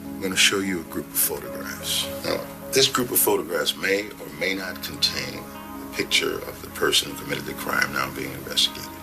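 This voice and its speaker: A man playing a formal, measured police detective sergeant in a crime audio drama, with a gravelly voice. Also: very deep voice, music in the background, low-quality recording. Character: deliberate, procedural male police detective sergeant.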